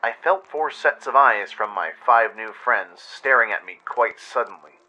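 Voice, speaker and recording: Male radio-play narrator, first-person storytelling, very sharp forceful tone over a music bed. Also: mid-range voice, lively intonation, unhurried, poor audio.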